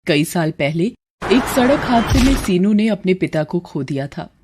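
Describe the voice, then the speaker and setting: A woman's voice: fairly low pitch, animated, normal speed, noisy background. A woman narrating a scripted story as storyteller.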